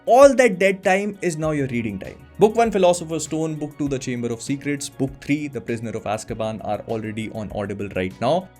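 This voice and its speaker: A man's voice: mid-range voice, expressive, fairly quick, hardly any pauses, darkish tone, soft background music. A young man hosting a vlog, eagerly recommending something in an emphatic storytelling way. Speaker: channel host. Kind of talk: sponsored recommendation monologue.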